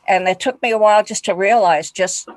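A woman's voice: medium pitch, a little fast, no room sound, low-quality recording. A woman hosting a podcast interview, speaking in an encouraging way with frequent "uh"s. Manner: warmly encouraging, with filler "uh"s. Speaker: podcast host. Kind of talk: interview chat.

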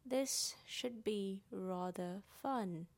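Glum storybook donkey, done by a woman, muttering, very slow, modest, creaky. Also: mid-range voice, no room sound. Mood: resigned, mild. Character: gloomy-voiced, modest, slow old male donkey.

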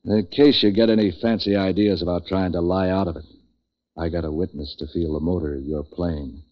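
A very low-pitched, street-wise tough guy played by a male actor talks in wry, slangy lines. He is a sardonic hard-boiled type from a 1940s radio noir, heard on a dull vintage recording. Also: fairly even intonation, brisk, lightly acted. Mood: sardonic, accusing.

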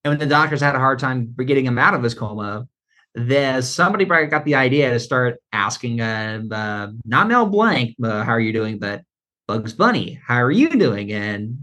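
A man in a casual fan discussion on a podcast, speaking in a halting way with many fillers. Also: medium pitch, lively intonation, pushed and bright. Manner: halting, filler-heavy delivery. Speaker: podcast co-host.

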